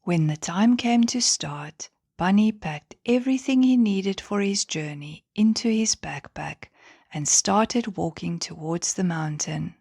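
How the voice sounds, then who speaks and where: A woman narrating a children's bedtime story in a gentle, expressive manner. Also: mid-range voice, unhurried, creaky, breathy edge, no room sound, clean studio sound.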